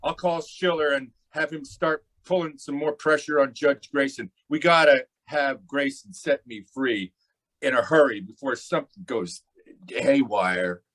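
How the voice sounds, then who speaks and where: A man's voice: mid-range voice, unhurried, a bit bright, close to an everyday voice, rough recording. A man acting a bossy, impatient gangster barking orders in an old-time radio crime drama. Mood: commanding. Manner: impatient, commanding.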